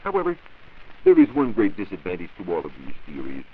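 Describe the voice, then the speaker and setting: An American man giving a formal, measured science talk as a reporter in a scripted 1940s radio broadcast. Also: deep voice, huge pitch swings, gravelly. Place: radio studio. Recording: dull, hissy 1940s radio recording.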